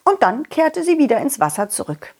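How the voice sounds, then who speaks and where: A woman storyteller narrating a children's tale in an expressive, gentle way made for young listeners. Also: high-pitched, moderate pace.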